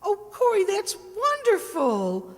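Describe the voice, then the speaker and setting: A woman acts a playful, teasing wife from a vintage radio comedy. Her voice is high and very animated, quick-witted and flirty. It echoes with long hall reverb. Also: exaggerated voice. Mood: bright, teasing. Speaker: amateur radio player. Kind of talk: old-time radio comedy acting.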